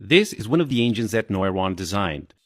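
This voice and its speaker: A man's voice: lowish voice, very animated, brisk, dry recording, studio quality. A man presenting a scripted engineering explainer in an enthusiastic, conversational way, with playful asides and rhetorical questions.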